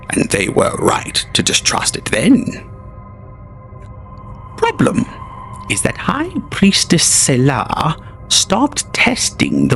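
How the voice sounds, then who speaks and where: A man's voice: fairly high pitch, gravelly, a bit bright, voice pushed up, full character voice. A man voicing a sinister, theatrical male manipulator with a silky, mocking delivery in an audio drama.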